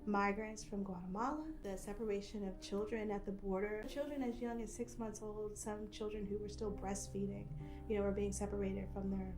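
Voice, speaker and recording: A woman speaking earnestly and conversationally in an interview. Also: medium pitch. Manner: earnest, conversational with "you know". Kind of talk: documentary interview. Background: music.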